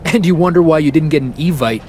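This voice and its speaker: Casting: male actor as sarcastic, cocky wrestling hero for a game, wisecracking, higher-set voice, light background noise. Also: fast talker, darkish tone, close to an everyday voice. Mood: defiant sarcasm. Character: cocky, sarcastic champion wrestler, defiant smart aleck. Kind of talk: scripted wrestling game cutscene acting.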